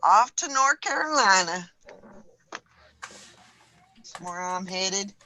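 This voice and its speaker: A woman hosting a casual group chat show, chatty and relaxed, her voice a little hoarse. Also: mid-range voice, takes it slowly, slight background noise, rough recording. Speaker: show host.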